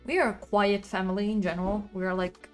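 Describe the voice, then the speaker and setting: A woman with a loud voice chatting casually on a livestream from her apartment, rambling and venting about her neighbors. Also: medium pitch, fairly even intonation, brisk, faint music behind. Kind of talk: casual chat.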